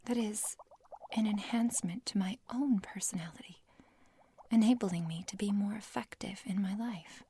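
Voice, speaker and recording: A woman playing an obsessive scientist who craves her serum, in a breathy, dramatic whispered ASMR roleplay with deliberate, formal wording. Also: medium pitch, dark tone, slight background noise, close to an everyday voice. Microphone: close ASMR microphone.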